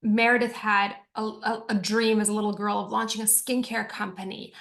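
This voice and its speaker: Casting: Croatian-born woman, company co-founder, persuasive pitch over video call. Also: normal speed, vocal fry. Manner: energetic, earnest, persuasive.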